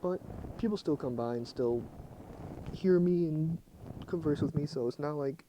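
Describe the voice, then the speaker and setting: A young man with a low voice, talking quietly and casually to his chat at night while he plays a game. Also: normal speed, noisy background. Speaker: streamer. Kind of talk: casual live game commentary. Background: faint game music.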